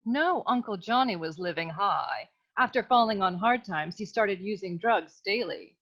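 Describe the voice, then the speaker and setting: A woman's voice: medium pitch, lively intonation, normal speed, fairly bright tone. A woman acting a melodramatic young damsel client in a comic noir radio serial, earnest and sweet, on a slightly lo-fi recording. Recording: slightly dull, lo-fi microphone.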